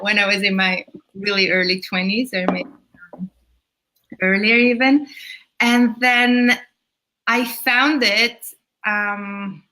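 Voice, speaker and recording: A woman's voice: mid-range voice, pushed and bright, poor audio. A woman in her thirties from Switzerland, a yoga teacher speaking casually as an interview guest, with Swiss German as her first language. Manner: chatty, laughs between thoughts.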